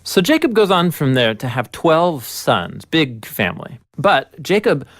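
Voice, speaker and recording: A man co-narrating an educational explainer in a casual, conversational, animated storytelling way. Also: fairly high pitch, measured pace, no echo, studio quality.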